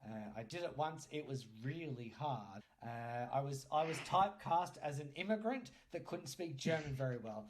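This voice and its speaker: A man's voice: on the high side, expressive, normal speed, hardly any pauses, a little hiss or hum. A young man hosting a podcast in casual interview chat, joking and bantering as he goes. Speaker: podcast host.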